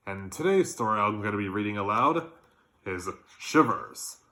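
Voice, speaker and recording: A man reading a storybook aloud for children in a deliberate, expressive way. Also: fairly low pitch. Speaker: storybook reader. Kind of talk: children's read-aloud narration.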